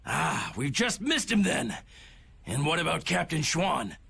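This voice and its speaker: A pompous knight officer in a video game, blustering and indignant. His voice is high and gravelly, with a raspy edge, and his delivery swings wide and lively. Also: a little slow, full character voice. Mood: deflated. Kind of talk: game voice acting.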